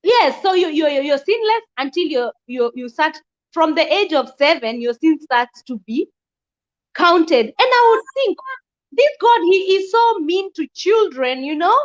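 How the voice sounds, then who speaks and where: Blunt high-voiced woman, dull recording. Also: animated, unhurried. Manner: blunt, outspoken, emphatic. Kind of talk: casual discussion.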